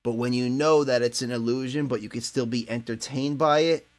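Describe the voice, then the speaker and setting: A man's voice: mid-range voice, steady tone, fairly quick. A man in his late twenties hosting a casual podcast, musing in a laid-back, profane way. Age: late 20s. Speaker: podcast host. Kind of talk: casual chat.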